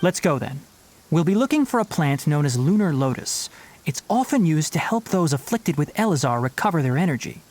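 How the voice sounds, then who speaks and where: A man's voice: on the high side, very animated, a little fast, a little mellow, slight background noise. A man playing an earnest, knowledgeable young forest ranger in a video game, articulate and slightly pedantic as he instructs. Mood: earnest, instructive. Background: game music.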